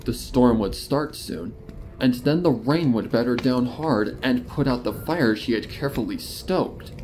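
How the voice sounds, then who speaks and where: A man narrates a dark horror tale with a very animated, expressive delivery. His voice sits at an average male pitch, with some noise and ambient effects behind it. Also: a little slow. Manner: expressive, descriptive storytelling. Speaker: fan fiction narrator. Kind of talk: dramatic horror story narration. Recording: noticeable noise under the narration.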